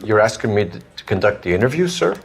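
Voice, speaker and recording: An actor playing an earnest, hard-driving young police detective in a crime game, formal and clipped. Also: male voice, on the low side, big pitch swings, fast, gravelly, a little hiss or hum. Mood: eager, respectful. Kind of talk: dramatic game cutscene acting.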